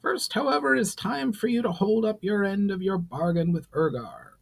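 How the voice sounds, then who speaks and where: Proud male goblin leader, acted by a man, high pitch, animated, suspicious, formal, fantasy audiobook. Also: moderate pace, gravelly, exaggerated voice. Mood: grudging. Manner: formal, challenging speech.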